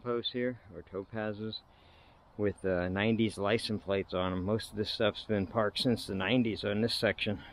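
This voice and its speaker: A man's voice: lowish voice, fairly even intonation, normal speed, background noise. A middle-aged American man giving casual, wry, folksy walking-tour commentary as a vlogger on a handheld camera outdoors. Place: outdoors in an overgrown field. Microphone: handheld action camera.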